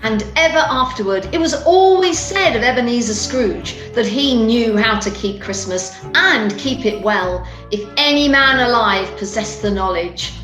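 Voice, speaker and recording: A woman's voice: a little high, expressive, measured pace, few pauses. A woman reading scripted storytelling narration for a play. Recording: roomy, echoing home audio with music.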